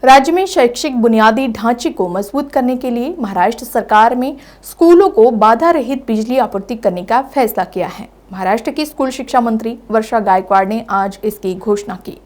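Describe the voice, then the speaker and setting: A woman reads the news as a bulletin anchor. Her voice sits slightly higher than average for a woman, and she delivers scripted reports. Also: animated, normal speed, hardly any pauses, a little mellow.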